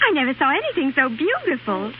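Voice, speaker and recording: A woman's voice: sits high, wildly swooping pitch, fast talker. A woman acting an eager, greedy, gushing college girl in a 1930s radio commercial. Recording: tinny, hissy 1930s radio recording.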